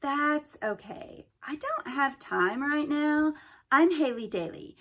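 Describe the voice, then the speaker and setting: A woman's voice: high voice, lively intonation, slow, a bit bright, voice pushed up, full character voice. A woman voicing a determined young girl who is eager and competitive. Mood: impatient.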